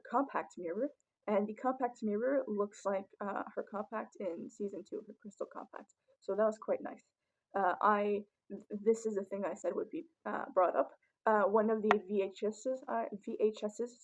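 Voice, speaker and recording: A young woman with a raspy voice, chatting casually and rambling with many ums as she shows off her collection. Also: mid-range voice, lo-fi sound. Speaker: vlogger. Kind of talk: casual collection show-and-tell.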